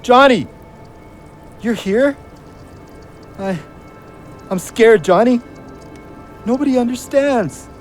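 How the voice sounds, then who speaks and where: A troubled young man fresh out of jail in an audio play. His pitch swings widely as he speaks, coarse and defensive, with a bitter edge. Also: expressive, slow pace, pauses often, faint music behind, heavily acted. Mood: scared, despairing. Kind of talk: naturalistic audio drama acting.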